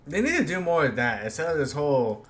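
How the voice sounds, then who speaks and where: A man's voice: fairly high pitch, sing-song, quick pace, fairly bright tone. A man streaming a game, giving casual commentary and reading on-screen text aloud. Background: faint game music.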